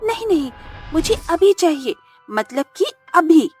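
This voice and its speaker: A woman's voice: extremely high pitch, very animated, a little slow, a little mellow, exaggerated voice. A woman voicing a stubborn, earnest young daughter in a moral cartoon story, girlish and insistent. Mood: stubborn demanding. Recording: background music under the voice.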